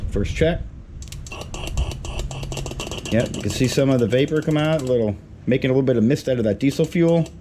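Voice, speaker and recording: A man's voice: medium pitch, a little fast, long pauses. A man giving a casual hands-on how-to as a DIY mechanic, speaking in short muttered steps while he works. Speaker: DIY mechanic demonstrating a repair test. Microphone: handheld camera moved around while working. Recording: clanking tools and workshop noise.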